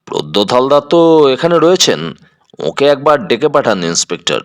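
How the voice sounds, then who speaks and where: A man's voice: medium pitch, sing-song, moderate pace, lightly acted. A man playing a shrewd private detective in an audio drama, composed and probing as he questions people. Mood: probing, polite.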